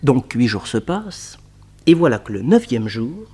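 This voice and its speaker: A man narrating a detective radio play, telling the story in a lively, colloquial way. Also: medium pitch, measured pace, slight background noise.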